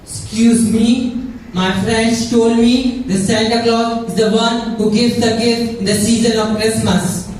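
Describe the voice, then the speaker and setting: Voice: mid-range voice, fairly even intonation, measured pace, a little mellow, lightly acted. A performer playing an eager, naive young believer in Santa in a live puppet show, speaking simply and emphatically and repeating phrases. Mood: demanding. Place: church Christmas program in a hall. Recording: echoey hall, distant mic, faint noise.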